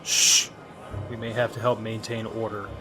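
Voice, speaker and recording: A man's voice: fairly low pitch, flat delivery, moderate pace, lightly acted, low-quality recording. Man as a firm, dutiful military leader. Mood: firm, commanding. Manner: brief, businesslike. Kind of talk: audio drama acting. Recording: loud music over the voice.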